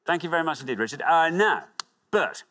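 A man's voice: on the high side, very animated, a little fast, sharp tone. A middle-aged man hosting a studio quiz show with a lapel mic, chatty and encouraging. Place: large TV studio with audience.

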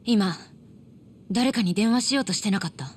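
A woman's voice: medium pitch, normal speed, slight background noise, natural voice. A woman playing a questioning young female companion in a game cutscene.

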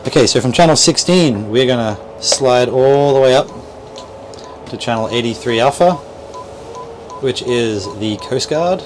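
A man's voice: medium pitch, measured pace, long pauses. A man in his thirties giving an informal, instructional walkthrough, explaining things with hesitant, repeated words. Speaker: boat dealer presenter. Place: small boat cabin at a marina. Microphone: clip-on lapel mic. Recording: noisy boat cabin with steady background hum.

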